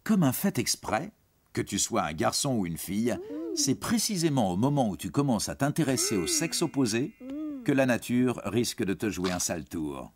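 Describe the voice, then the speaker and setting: A man's voice: mid-range voice, very animated, moderate pace, a crowd in the background. A man reads scripted educational narration for young teens, explaining things in a reassuring, informal way and addressing the listener directly. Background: music.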